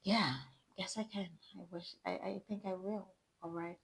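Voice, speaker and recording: A woman's voice: fairly low pitch, fairly even intonation, moderate pace, gravelly, slightly rough. A woman hosting a reaction video, chatting casually and thinking aloud with fillers. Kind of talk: casual chat.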